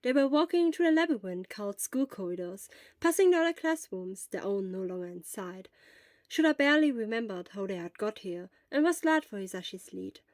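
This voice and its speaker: A woman reading a fan fiction story aloud as narrator, speaking softly and sometimes dropping to a whisper. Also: medium pitch, animated, fairly quick, no room sound.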